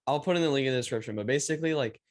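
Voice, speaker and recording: A man's voice: mid-range voice, racing. A young man hosts a casual chat over a video call from a small room at home, speaking into a stand mic. He rambles easily with plenty of fillers, his English not his first tongue. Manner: relaxed, rambling, many "like" fillers. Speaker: podcast host. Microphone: studio mic on stand, video call.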